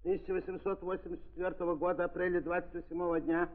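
An actor playing a presiding judge who reads out formally and declaims in an official manner, in a 1930s audio drama. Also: male voice, high voice, flat delivery, fast talker, lightly acted. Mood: solemn, official. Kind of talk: 1930s Russian radio drama acting. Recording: tinny 1930s recording in reverberant hall.

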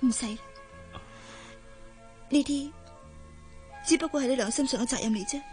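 An actress playing a gentle, dutiful young working woman in a radio drama, speaking with restraint and a Hong Kong Cantonese accent. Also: sits high, normal speed, soft background music, natural voice. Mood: hurt, resigned. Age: young adult.

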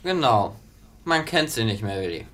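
A man's voice: fairly low pitch, very animated, moderate pace, fairly bright tone. A man acting a dismissive, condescending younger company boss in a 1950s German radio play, curt and impatient.

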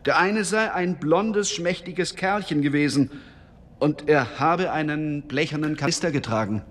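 A man acting a blunt, self-satisfied police constable in a German radio drama, telling things in a halting way. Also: a little high. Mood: reporting. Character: working-class police constable, matter-of-fact, self-satisfied about grisly work.

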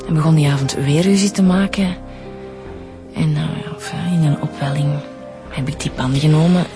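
An actress with a Flemish accent playing a stubborn, guarded daughter under questioning in a radio drama. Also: female voice, low voice, moderate pace, music in the background, close to an everyday voice. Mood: stubborn, bitter. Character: murdered doctor's evasive, stubborn daughter.

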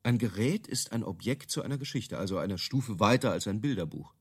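A brash marketing creative in a radio play. His delivery is highly animated, swinging up and down as he makes emphatic, self-assured pronouncements. He sounds opinionated and quick to dismiss objections. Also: husky. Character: brash, self-assured male product-marketing creative selling gadget stories. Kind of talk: radio drama dialogue in interview form.

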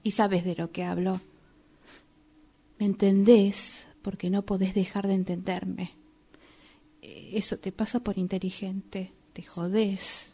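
A woman's voice: mid-range voice, flat delivery, slow, warm tone, husky. A woman playing an anxious yet reproachful, lecturing woman in a radio play, with an Argentine accent and an insistent manner. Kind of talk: radio drama acting.

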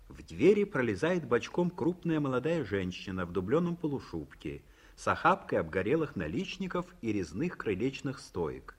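Husky male narrator of a radio play. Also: medium pitch, sing-song, normal speed, hardly any pauses. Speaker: narrator reading stage directions. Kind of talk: scripted narration. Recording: 1950s studio radio recording.

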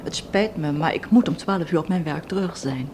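An actress playing a cool, guarded estranged wife in a Dutch radio play, polite but reserved. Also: female voice, low-pitched, moderate pace, darkish tone, a little hiss or hum, close to an everyday voice.

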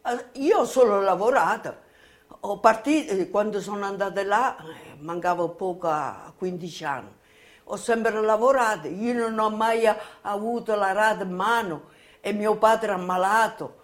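Elderly woman, plain halting recollection. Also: medium pitch. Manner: plain, repetitive, halting, ungrammatical folk speech. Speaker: former colonist giving testimony. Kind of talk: spoken personal recollection.

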